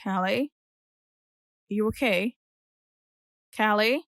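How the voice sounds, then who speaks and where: An actress playing a friendly, forthright young flatmate in casual audio drama dialogue. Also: female voice, medium pitch, extremely slow, no room sound, studio quality. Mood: concerned.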